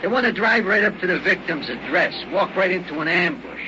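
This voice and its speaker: An actor playing a talkative, knowing big-city cab driver in an old-time radio drama, with slangy, folksy American speech. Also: male voice, high voice, lively intonation, fairly quick. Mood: knowing, chatty. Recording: very noisy, muffled old radio recording.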